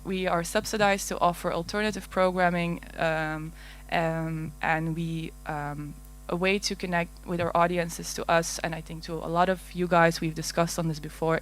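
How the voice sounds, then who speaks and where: A woman's voice: on the low side, a little hiss or hum. Nervous Flemish woman presenting at a conference. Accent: Flemish Dutch. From Belgium. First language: Dutch. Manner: nervous, hesitant, many fillers, light laughs. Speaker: conference speaker. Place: large darkened auditorium.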